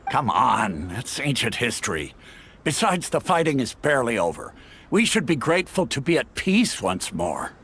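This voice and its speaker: A man's voice: mid-range voice, big pitch swings, moderate pace, fairly bright tone, a little hiss or hum. An actor voicing an easygoing, caring male villager in an anime game, familiar and coaxing in manner.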